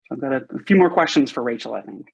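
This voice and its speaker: A man's voice: medium pitch, expressive, rapid-fire, vocal fry, breathy edge, highs cut off. A man from Hawaii, a prospective student, talking hesitantly with lots of restarts and fillers. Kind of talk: casual video-call chat.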